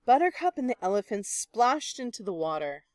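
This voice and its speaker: A woman reading a children's picture book aloud in an expressive, deliberate storytelling manner. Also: fairly high pitch, dry recording, studio quality. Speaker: storybook reader.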